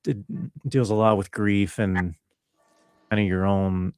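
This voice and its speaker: A man chatting casually as an interview guest, explaining his creative work in a laid-back way with pauses. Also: fairly low pitch, fairly even intonation, darkish tone, clear, resonant tone, no room sound, soft background music. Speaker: interview guest, composer and musician. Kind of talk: casual conversational interview talk.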